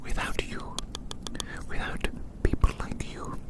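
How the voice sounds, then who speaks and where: An ASMR performer whispering a rambling, theatrical roleplay monologue outdoors, softly repeating phrases in wordy language. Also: slow. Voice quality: soft unvoiced whisper. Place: outdoors in a large open field.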